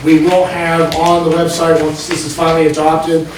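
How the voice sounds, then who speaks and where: A man's voice: a little high, completely flat delivery, moderate pace. A man on a planning board walks a committee through a budget plan at a public meeting, explaining page by page with frequent ums. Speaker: planning board member presenting. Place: town meeting room. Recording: echoey meeting room from far off, noisy.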